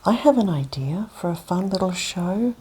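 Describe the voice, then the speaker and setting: A woman voicing an eager, inventive ladybug in a soft whisper. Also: lowish voice, expressive, moderate pace, slight background noise, close to an everyday voice, low-quality recording.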